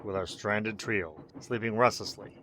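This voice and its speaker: An actor narrating a suspense radio drama in a scripted, dramatic style. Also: male voice, on the low side, normal speed, pushed and bright, slight background noise. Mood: ominous.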